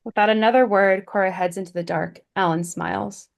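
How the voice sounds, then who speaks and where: Female narrator, medium pitch, reading scene headings and action lines from a screenplay. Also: fairly even intonation, a little fast, gravelly. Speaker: stage-direction reader.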